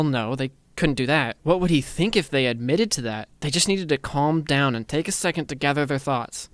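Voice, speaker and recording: A man's voice: mid-range voice, smooth, no room sound. A man reading scripted story narration in a theatrical, earnest manner.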